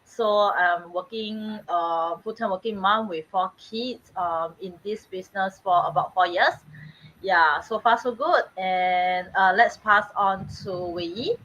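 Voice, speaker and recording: A woman's voice: medium pitch, unhurried, fairly bright tone. Singaporean woman on a dull, lo-fi line. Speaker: guest on a group live stream. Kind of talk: casual self-introduction. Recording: dull, lo-fi stream audio.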